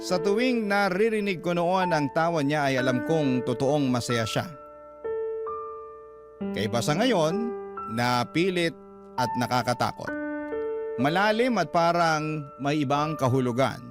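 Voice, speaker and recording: A man's voice: a little high, animated, measured pace, long pauses, music in the background. A man playing a reflective older brother, narrating childhood memories wistfully in a Filipino radio drama. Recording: loud musical score under narration.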